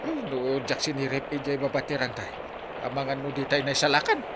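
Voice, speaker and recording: A man acting a gruff, selfless village captain in a drama serial, speaking haltingly with many interjections. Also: medium pitch, little pitch movement, background noise, close to an everyday voice. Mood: tired, concerned.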